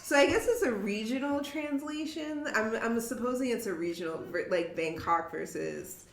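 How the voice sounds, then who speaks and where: A woman hosting a casual podcast chat, animated and jokey, often laughing through her lines. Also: on the high side, normal speed, creaky. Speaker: podcast host. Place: warehouse. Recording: echoey room with faint background noise.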